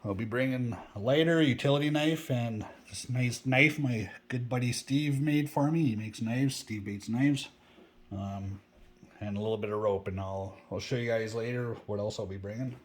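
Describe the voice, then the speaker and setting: A man's voice: mid-range voice, moderate pace. A man with a stuffy, congested voice from a cold, chatting casually and rambling in a vlog about his camping gear and plans. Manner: relaxed, rambling, with many fillers and restarts. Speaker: bushcraft vlogger. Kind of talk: casual outdoor vlog talk.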